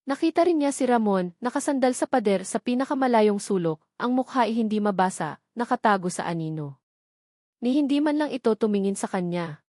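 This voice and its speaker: A woman's voice: a little high, animated, brisk, mellow, no room sound, studio quality. A woman reading scripted narration of a dramatic love story.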